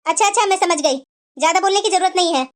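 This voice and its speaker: A woman's voice: very high voice, fast, pitched below the performer's own voice. A woman voicing a fussy elderly mother-in-law who bosses the household in a cartoon. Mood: bossy. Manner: bossy, scolding. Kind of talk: cartoon voice acting.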